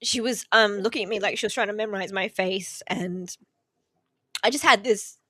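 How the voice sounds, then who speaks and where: A woman's voice: mid-range voice, moderate pace, pushed and bright, dry recording, studio quality. A tearful woman, talking casually as a podcast guest, with lots of fillers and laughter mixed in. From Australia. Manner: chatty, many fillers, laughs through emotion. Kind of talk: casual interview chat. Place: relative's house, indoors.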